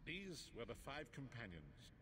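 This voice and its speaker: An actor playing an old blind prophet who narrates in a grave, theatrical manner for a fantasy game. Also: male voice, medium pitch, wildly swooping pitch, a little slow. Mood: grave, instructive. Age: older. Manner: solemn, theatrical storytelling. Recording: noisy game capture, ambient sound behind.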